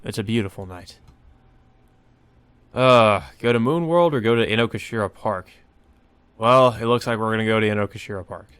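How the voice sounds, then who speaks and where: A man's voice: on the low side, normal speed, long pauses, a bit bright, clean tone, no echo. A man hosting a Let's Play, reading a visual novel aloud with casual commentary.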